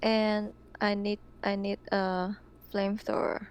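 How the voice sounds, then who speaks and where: A woman's voice: medium pitch, level tone, takes it slowly, clean tone. A woman playing a tabletop game over a voice call, giggling often and restarting her sentences. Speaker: player.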